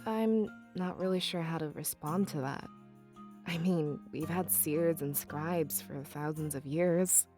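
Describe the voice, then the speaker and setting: Casting: bossy fantasy animal lady, fussy and impatient, on the low side, faint music underneath. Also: takes it slowly. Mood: serious. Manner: haughty, impatient, complaining. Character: bossy, fussy, easily disgusted female fantasy animal character. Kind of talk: visual novel voice acting. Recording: music behind.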